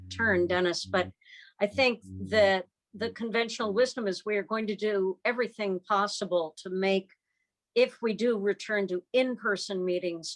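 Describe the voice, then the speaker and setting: A woman with a medium-pitched voice talks through points in a club meeting. She is measured and explanatory, speaking over a video call. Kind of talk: meeting discussion.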